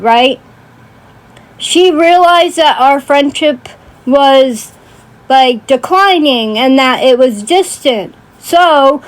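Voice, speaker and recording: A woman's voice: sits high, rough recording. A woman ranting casually on a livestream in a blunt, sweary way, often checking in with emphatic tag questions. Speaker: livestream host.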